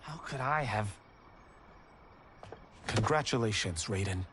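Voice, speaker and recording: A man playing a proud young martial artist in a game cutscene, a self-assured, competitive rival with a deliberate manner. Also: fairly low pitch, lively intonation, noisy background. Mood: subdued, concerned. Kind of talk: video game cutscene acting. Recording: loud cinematic music behind.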